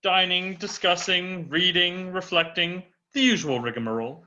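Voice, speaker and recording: A man's voice: high voice, moderate pace, pushed and bright, slight background noise. A man playing a witty, unflappable Russian count on trial in an amateur dramatic reading, formal, eloquent and dryly flippant. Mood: dryly haughty. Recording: dull, muffled lo-fi recording.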